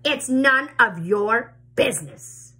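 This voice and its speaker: A woman voicing a mean, bossy middle-aged aunt who shouts harshly in a storybook reading. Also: on the high side, animated, slow pace, pitched above the performer's own voice, heavily acted. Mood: snapping. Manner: harsh, yelling.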